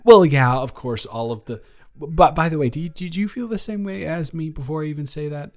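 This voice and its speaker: A man's voice: on the high side, fairly quick, natural voice. A young man playing a nervous, lovestruck best friend in an improvised romantic roleplay audio, rambling and stammering as he speaks to the listener. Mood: animated, teasing.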